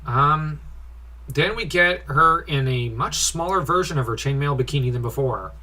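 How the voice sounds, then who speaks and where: A man's voice: on the high side, moderate pace, bright, forceful tone, rough recording. A man giving an informal comic review in a nitpicky, critical way, given to sighing. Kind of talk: informal comic review commentary with read-out dialogue.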